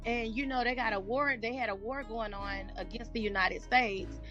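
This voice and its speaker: A woman's voice: a little high, normal speed, fairly bright tone. A woman on a recorded phone call, asking puzzled questions. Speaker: caller's sister. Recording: distant phone call with music under it.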